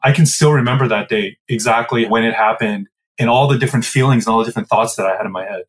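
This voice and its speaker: A man's voice: mid-range voice, animated, quick pace, rough recording. Man, 40s, Midwest-raised guest; reflective, filler-heavy storytelling. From Midwestern United States. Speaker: guest, business co-founder. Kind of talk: casual interview answers.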